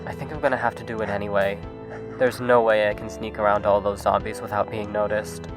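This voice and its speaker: A man's voice: on the low side, level tone, brisk, music in the background, close to an everyday voice. A man playing an anxious, self-deprecating lone traveller in a zombie audio drama, speaking in a hesitant, awkward, wry way. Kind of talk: audio drama acting, casual dialogue.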